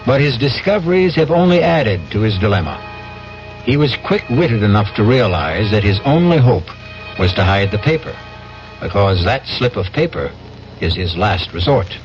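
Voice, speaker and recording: A man's voice: fairly low pitch, sing-song, moderate pace. A man narrating a radio mystery as host, in a dramatic scripted style, on a dull old broadcast. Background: faint music.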